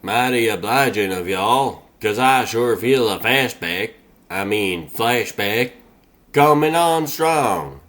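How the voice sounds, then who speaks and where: A man voicing a slow-witted, folksy turtle superhero in a comic dub, with a rambling American Southern drawl. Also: on the low side, lively intonation, fairly bright tone. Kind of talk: comic dub character acting.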